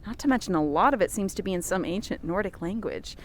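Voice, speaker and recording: A woman's voice: medium pitch, lively intonation, fast, slight background noise, close to an everyday voice. A woman playing an earnest, easily outraged reporter who speaks emphatically in an audio drama. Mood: awed, curious. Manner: emphatic, righteous, wordy.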